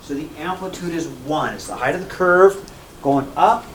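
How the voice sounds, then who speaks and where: A man lecturing a classroom in a fairly high voice, bouncing between loud emphasis and quiet asides. He repeats each key point and keeps checking whether the students follow. Faint room noise sits under him. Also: normal speed, poor audio. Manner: energetic, repeats key points, asks "okay?" and "right?" often. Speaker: math teacher.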